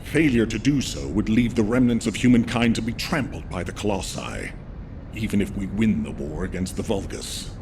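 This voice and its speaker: A man playing a grave, resolute military commander in a game cutscene, speaking in a measured, solemn and authoritative way. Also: lowish voice, lively intonation, gravelly, darkish tone, slight background noise. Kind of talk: scripted game cutscene acting.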